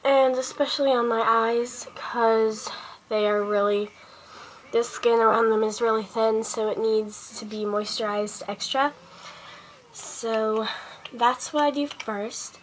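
A woman's voice: fairly high pitch, fairly even intonation, slow. A teenage girl who is sick and sniffly, talking casually through a makeup routine step by step in her bedroom. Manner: chatty, step-by-step, talking while applying makeup. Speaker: beauty vlogger. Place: small bedroom indoors. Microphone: handheld device camera close up. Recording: faint background noise, lo-fi device mic.

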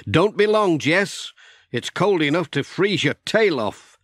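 A kindly village postman, acted out by a male storyteller, friendly and practical. He speaks without hurry and with lively, caring intonation in a children's audiobook. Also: on the high side, unhurried, dry recording, clean studio sound, voice pushed up. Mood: concerned.

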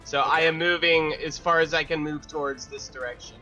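A man's voice: a little high, steady tone, brisk, pushed and bright. A man talking casually as a player in a tabletop game, on a slightly lo-fi recording. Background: music behind the voice.

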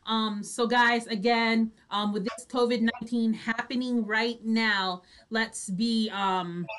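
A woman's voice: fairly high pitch, fairly even intonation, takes it slowly, a bit bright, clean tone. A woman hosting a show in a casual, instructive way. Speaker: radio show host. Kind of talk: casual chat, reading out instructions. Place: small indoor home studio, video call.

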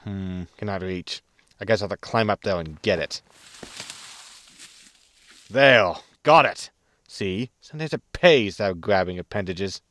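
Man playing sardonic, low-voiced space captain. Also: animated, measured pace, long pauses, fairly bright tone, no echo. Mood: irritated. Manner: sardonic, grumbling. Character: gruff, sarcastic male spaceship captain and military pilot. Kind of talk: sci-fi comedy audio drama acting.

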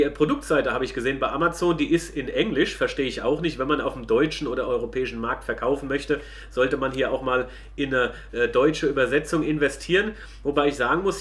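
A man's voice: medium pitch, a bit bright. A man casually demonstrating a product as a reviewer, chatty and colloquial, speaking directly to viewers.

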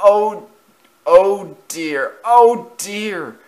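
A man voicing an excitable, easily flustered male goods engine in a storybook, speaking in urgent exclamations. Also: high-pitched, drawn-out words, pitched above the performer's own voice. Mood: shaken, concerned.

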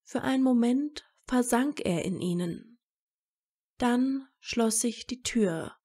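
A woman's voice: a little high, takes it slowly, warm tone. A woman narrating a scripted story.

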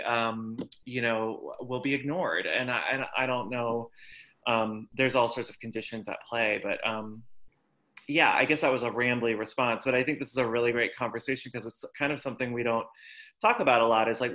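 A man's voice: medium pitch, steady tone, moderate pace, bright, forceful tone. A man in a workshop video call, thinking aloud in a rambling, hesitant way with many fillers. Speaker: workshop participant. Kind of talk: casual discussion on a video call.

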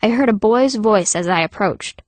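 A woman's voice: mid-range voice, moderate pace, no room sound, natural voice. A woman playing a fierce, wry teenage girl mage, narrating her own story in an audiobook. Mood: wry storytelling. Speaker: first-person narrator.

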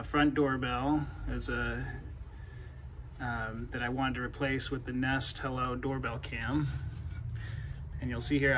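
Guy doing a casual diy walkthrough outside, halting and repeating himself, mid pitch, some background noise. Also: fairly bright tone. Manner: halting, repeats words while explaining. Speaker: DIY homeowner. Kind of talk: casual hands-on tutorial. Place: outdoors by a house front door. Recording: clear background noise, outdoor ambience.

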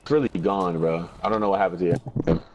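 A man's voice: deep voice, a little fast, darkish tone, dull audio, slight background noise. A young man trash-talking over game voice chat, taunting and mocking with repeated jabs. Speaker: gaming YouTuber.

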